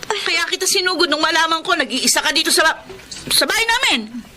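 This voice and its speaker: A combative, middle-aged sister-in-law in a Filipino radio melodrama. Her voice is extremely high and cuttingly bright. She scolds spitefully and picks fights. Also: expressive, brisk, a little hiss or hum, heavily acted. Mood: hostile, scornful. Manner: scolding, combative, shrill outbursts. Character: spiteful, quarrelsome married older sister-in-law, mother.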